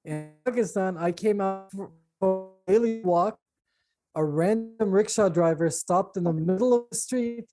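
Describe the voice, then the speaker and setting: A man from the United States, a podcast co-host telling stories in casual chat, animated and jokey. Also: high-pitched, normal speed, soft background music.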